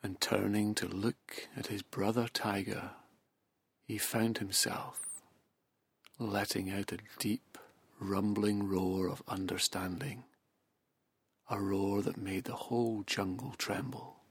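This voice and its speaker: A man guiding a hypnosis session, speaking softly and slowly in a half-whispered, soothing delivery. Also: lowish voice, flat delivery, slightly rough, no echo. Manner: soft, slow, half-whispered. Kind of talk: guided hypnosis narration.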